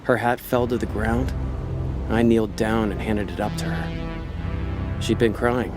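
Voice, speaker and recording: Man acting as laid-back noir con man, low pitch, wry, world-weary, slangy, radio drama over loud music. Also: fairly even intonation, a little slow, close to an everyday voice. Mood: wry, cynical. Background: prominent background music.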